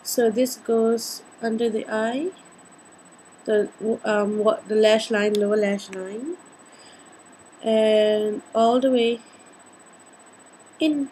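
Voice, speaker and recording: A woman in her twenties with a Singaporean accent and a slightly husky voice, chatting playfully through a casual makeup tutorial. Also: on the high side, fairly even intonation, very slow, long pauses, mellow, a little hiss or hum, poor audio. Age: 20s. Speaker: beauty vlogger. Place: indoors, small home room.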